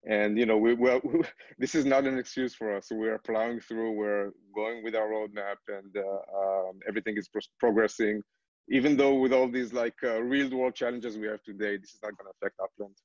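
A man's voice: on the low side, fairly even intonation, brisk, darkish tone, no echo. A man in his thirties talking casually and explaining in a video-call Q&A, peppering his speech with filler phrases. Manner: hedging, repeats "kinda like". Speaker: company co-founder from gaming industry. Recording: slightly dull video-call audio.

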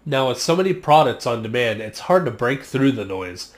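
A man in his thirties, a marketing vlogger, delivering a scripted explainer in a measured, earnest way from a small home studio on a studio microphone. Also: medium pitch, expressive. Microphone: studio condenser mic on stand, just off frame.

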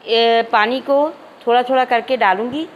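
Calm woman host giving cooking steps. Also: fairly high pitch, moderate pace, dull audio, slight background noise. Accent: Urdu. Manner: calm, instructive, addresses viewers as nazreen. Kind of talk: step-by-step cooking instructions. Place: home kitchen.

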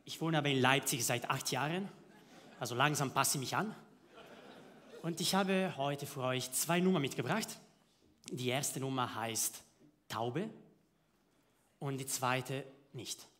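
A man's voice: medium pitch, a little slow, pauses often, a bit bright. A young man from Milan with an Italian accent, doing stand-up comedy on stage and announcing his bits in short, dry lines. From Italy (Milan). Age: young adult. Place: comedy stage before a live audience.

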